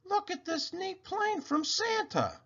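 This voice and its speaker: A man voicing an excited, wide-eyed young boy in a storybook, exclaiming eagerly. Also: extremely high pitch, slow, dull audio, voice pushed up, exaggerated voice. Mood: excited wonder.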